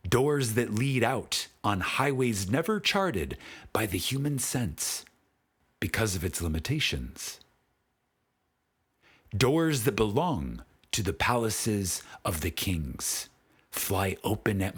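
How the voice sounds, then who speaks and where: Slow, expressive male spiritual audiobook narrator. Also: fairly low pitch, husky. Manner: solemn, deliberate, with dramatic pauses.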